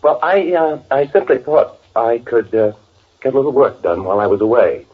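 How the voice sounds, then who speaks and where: An actor playing a timid, fussy, evasive twin brother with a nervous stammer in an old-time radio drama. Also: male voice, medium pitch, lively intonation, moderate pace, narrow, tinny audio, natural voice. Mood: evasive, anxious. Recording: band-limited old radio drama recording.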